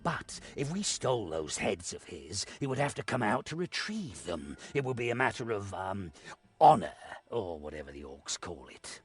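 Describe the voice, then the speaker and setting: A man playing a shrewd, commanding war leader in a fantasy game, measured and authoritative. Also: fairly low pitch, big pitch swings, slightly rough. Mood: resolute scheming.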